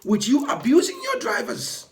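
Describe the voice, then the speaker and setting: A man who works as a ride-hailing driver, hoarse from losing his voice, delivering an indignant, repetitive rant to camera for his vlog. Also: extremely high pitch, huge pitch swings, normal speed. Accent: British. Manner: indignant, repetitive, building to impassioned outbursts.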